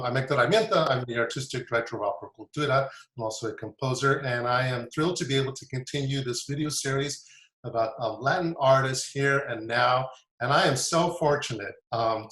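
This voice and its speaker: A man's voice: mid-range voice, fairly bright tone. Guy interviewing, lots of ums and okays, voice bouncing around a roomy space. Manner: frequent ums and short affirmations. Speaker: interviewer and artistic director. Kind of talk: friendly interview host.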